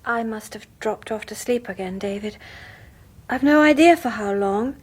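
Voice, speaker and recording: Breathy, anxious young mother, high-voiced. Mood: haunted, uneasy. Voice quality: breathy, husky. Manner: hesitant, hushed, dramatic pauses. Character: anxious young mother, haunted and unsettled by her husband. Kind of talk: radio horror drama acting.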